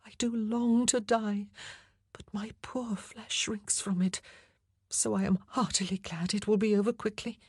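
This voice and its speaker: A woman reading an audiobook, voicing a frightened young queen awaiting execution who pleads in breathy whispers. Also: medium pitch, steady tone, a little slow, a little mellow, dry recording, clean studio sound, voice pushed up. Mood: anxious. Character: doomed young queen, frightened, faithful, fragile.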